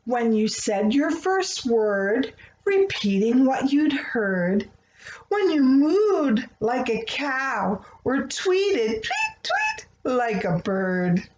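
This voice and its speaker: A woman reading a rhyming children's picture book aloud, with expressive, deliberate phrasing meant for young listeners. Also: sits high, lo-fi sound. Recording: roomy echo, faint background noise.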